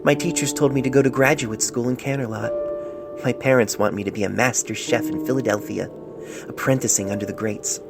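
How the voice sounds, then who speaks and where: A man's voice: steady tone, a little fast, creaky, natural voice. A man voicing a young mare in love as she tells of her feelings in a story letter, tender and wistful. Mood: tender, hopeful. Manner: tender, wistful, lightened pitch. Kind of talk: letter read aloud in story. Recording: homemade phone-mic recording with music.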